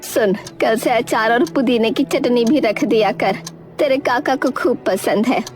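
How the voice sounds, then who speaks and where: A bossy old village woman from a children's cartoon performed by a woman. She complains and demands in a high-pitched voice, with faint music underneath. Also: measured pace, natural voice. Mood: demanding. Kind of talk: Hindi children's cartoon voice acting.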